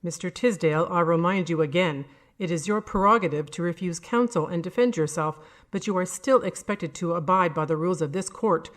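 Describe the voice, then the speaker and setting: A woman's voice: on the low side, brisk, close to an everyday voice. A woman voicing a stern, formal male judge who admonishes in a storybook courtroom.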